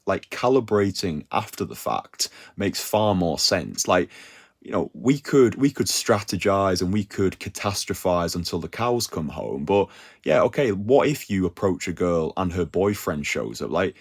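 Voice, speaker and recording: A young man giving dating advice in a casual, animated talk, peppering his speech with "like" and "yeah?". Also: low voice, measured pace, husky. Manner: animated, slangy, full of "like" and "yeah?" tags. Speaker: dating coach.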